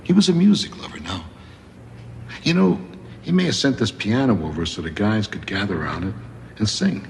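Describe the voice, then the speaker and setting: An actor playing a chatty, easygoing, streetwise convict in a naturalistic television drama. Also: male voice, fairly low pitch, lively intonation, moderate pace, gravelly, long pauses, a little hiss or hum. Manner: informal, streetwise.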